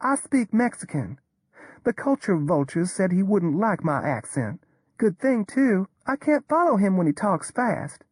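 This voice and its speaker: A woman's voice: mid-range voice, measured pace, breathy edge, dry recording. A woman playing a cynical Texas drawler. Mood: flat, weary. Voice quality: drawling, flat neutral tone. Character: cynical drawling woman, world-weary, blunt. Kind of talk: audio drama performance.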